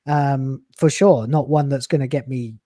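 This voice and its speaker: A man's voice: medium pitch, fairly even intonation, moderate pace, mellow, no room sound, studio quality. A man in his late thirties, a YouTuber talking in a casual podcast chat, blunt and profane, with emphatic swings in delivery. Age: late 30s. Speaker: YouTuber guest.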